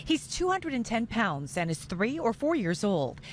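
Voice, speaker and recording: Female news reporter, animated high voice, scripted delivery, faint noise behind. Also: moderate pace.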